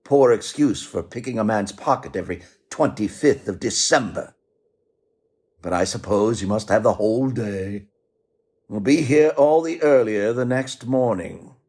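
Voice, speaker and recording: Scrooge, a low-voiced, curt old miser. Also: male voice, unhurried, darkish tone, breathy edge. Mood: grudging, irritated. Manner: curt, grudging, dismissive. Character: cold, miserly old male businessman, Scrooge. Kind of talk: radio play acting.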